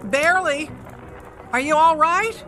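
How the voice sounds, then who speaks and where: A woman's voice: high voice, unhurried, sharp tone. An actress playing a lonely older grandmother, wary but kindly, in a radio drama. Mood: fond, disappointed.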